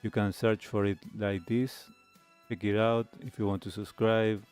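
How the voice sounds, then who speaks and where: A man hosting a talk show, giving reflective commentary in halting, deliberate phrases. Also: lowish voice, flat delivery, a little mellow, clean tone, faint music behind.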